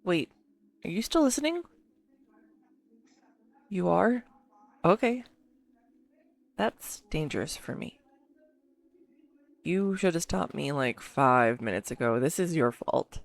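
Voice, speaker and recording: Woman playing an earnest, low-voiced enthusiastic girlfriend. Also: sing-song, gravelly, dry recording, exaggerated voice. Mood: playful, flustered. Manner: earnest, enthusiastic info-dumping with halting pauses. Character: excitable, affectionate neurodivergent girlfriend gushing over her favourite film. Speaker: amateur voice actor. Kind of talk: scripted roleplay audio monologue spoken to a listener.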